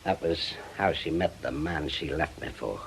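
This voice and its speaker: A man's voice: a bass voice, moderate pace, gravelly, slightly rough, full character voice, low-quality recording. An actor with a British accent playing a brusque, sardonic, middle-aged retired policeman in a radio drama. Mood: bitter. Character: gruff, bitter retired policeman, brusque and proud.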